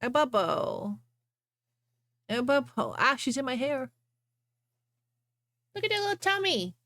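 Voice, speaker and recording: A woman's voice: high-pitched, big pitch swings, slow pace, fairly bright tone, no room sound, studio quality. A woman livestreamer chatting casually with viewers and reading fan fiction aloud.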